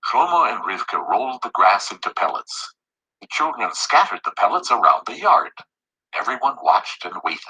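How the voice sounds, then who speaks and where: A man narrating a children's story in an animated, scripted read-aloud style. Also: on the low side, moderate pace, slightly rough, rough recording.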